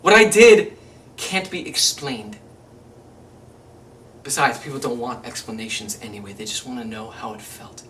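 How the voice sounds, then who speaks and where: A man's voice: mid-range voice, moderate pace, long pauses, fairly bright tone, natural voice. A man acts out a cold, volatile killer in a stage monologue. He is controlling and menacing, speaking with chilling, intimate deliberation and a tense edge. It is picked up from a slight distance, over faint noise. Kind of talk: theatrical monologue, self-taped audition.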